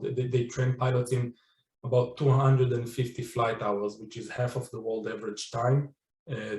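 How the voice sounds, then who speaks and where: A man with an Israeli accent speaks as a panelist, explaining in halting conversational phrases. His pitch moves very little, and the room around him echoes. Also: darkish tone. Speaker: company chief, panel speaker. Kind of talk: conversational webinar talk. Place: indoor room, online webinar. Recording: roomy, echoing webinar audio.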